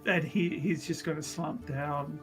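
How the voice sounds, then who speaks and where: A tabletop game player improvising what their character does, in halting, restarted phrases. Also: medium pitch, fairly even intonation, faint music behind. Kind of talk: improvised description of own character's actions.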